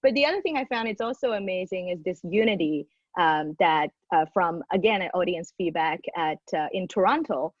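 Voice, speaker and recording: A woman's voice: fairly low pitch, expressive, moderate pace, few pauses, no room sound, muffled recording. A woman design speaker chatting on a panel, hesitant with many fillers and restarts. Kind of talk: casual panel chat. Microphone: video call.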